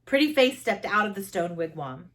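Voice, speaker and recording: A woman reading a children's story aloud as expressive narration, with deliberate dramatic emphasis and pauses. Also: mid-range voice. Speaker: storybook reader.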